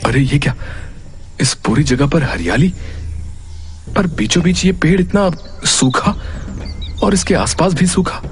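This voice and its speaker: A man's voice: on the high side, lively intonation, unhurried, pauses often, slight background noise. A man voicing a naive, easily startled young hero in a cartoon story, with a wondering, exclaiming manner. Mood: puzzled. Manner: wondering, exclaiming, talks to himself. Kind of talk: Hindi cartoon story voice acting. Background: music.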